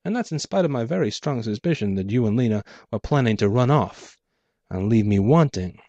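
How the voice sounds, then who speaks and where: A man voicing a theatrical, gloating clockwork gangster boss with taunting, drawn-out phrasing in a noir audiobook. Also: mid-range voice, expressive, dark tone, dry recording, muffled recording. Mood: smug, magnanimous. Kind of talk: dramatic audiobook character voice, noir pulp.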